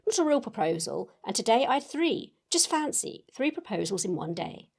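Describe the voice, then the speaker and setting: A woman voicing an excitable young Victorian lady reading out her sentimental letter in a gushing, earnest way. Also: on the high side, moderate pace, creaky, husky, pitched above the performer's own voice, full character voice. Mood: excited, gushing. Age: young adult.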